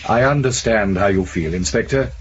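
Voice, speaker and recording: A guarded secret service official, played by a male actor, speaks in a lowish voice with measured, formal authority. It is 1970s radio drama, dull in sound with faint noise behind. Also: lightly acted. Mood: firm. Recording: dull, noisy old radio recording.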